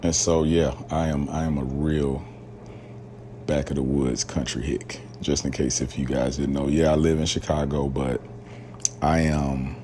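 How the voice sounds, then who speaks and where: A man with an extremely deep, low voice hosts a solo podcast and talks in a loose, rambling way, full of fillers and slang. He grew up in rural Alabama. A faint hiss of noise sits under the recording. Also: level tone, measured pace, warm tone. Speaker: podcast host. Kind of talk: casual solo podcast talk.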